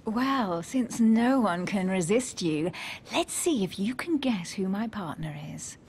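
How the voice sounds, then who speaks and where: A woman's voice: mid-range voice, expressive, unhurried, a little mellow, slight background noise, natural voice. An actress playing a guarded, witty, self-possessed woman of a secret society in a video game, composed and articulate. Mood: teasing. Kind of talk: dramatic video game dialogue. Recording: music under the voice.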